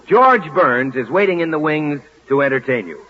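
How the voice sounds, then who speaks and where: A man's voice: a little high, lively intonation, moderate pace. An American man announcing formally to an audience in 1940s radio style. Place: auditorium stage. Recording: muffled, hissy 1940s radio broadcast.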